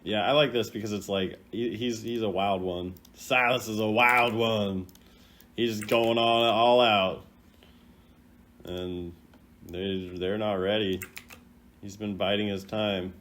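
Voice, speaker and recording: A man's voice: on the low side, fairly even intonation, slow, fairly bright tone, a little hiss or hum. A man giving casual, off-the-cuff commentary as a video host, rambling and playfully opinionated. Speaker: video host rating game voice lines.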